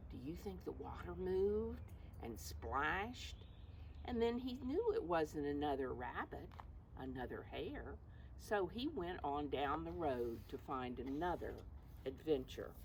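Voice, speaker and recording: Female preschool storyteller, teacherly and simple, very varied intonation, recorded in a backyard with clear ambient noise. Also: low-pitched. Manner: simple teacherly phrasing, questions to young listeners. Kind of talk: picture-book read-aloud with explanations and questions. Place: outdoors in a backyard. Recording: clear outdoor background noise.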